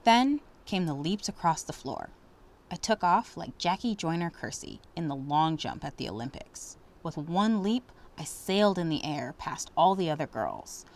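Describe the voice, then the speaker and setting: A woman reading a children's storybook aloud in an expressive, dramatic way. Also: deep voice, normal speed. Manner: animated, dramatic. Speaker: storybook reader.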